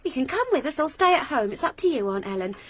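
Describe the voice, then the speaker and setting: A cheeky young niece. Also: female voice, sits high, very animated, fast. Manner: breezy, cheeky. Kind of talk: radio drama acting.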